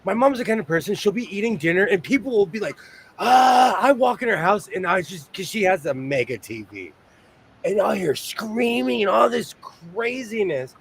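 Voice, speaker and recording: A man's voice: high voice, expressive, normal speed, creaky, slight background noise. A man hosting a casual live sewing chat, rambling and self-deprecating as he tells stories. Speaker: host.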